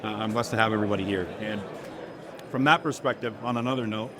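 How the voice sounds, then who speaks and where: A man's voice: lowish voice, fairly even intonation, fast talker. A man giving a casual product interview as a company owner, talking informally in business jargon at a trade show. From Turkey or of Turkish family. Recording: busy crowded convention hall noise. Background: loud crowd chatter.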